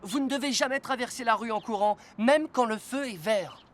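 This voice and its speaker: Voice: medium pitch, brisk, a little hiss or hum, natural voice. An actor voicing a caring rescue police car in a children's cartoon, earnest and patient while giving instruction. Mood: patient, instructive. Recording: music behind the voice.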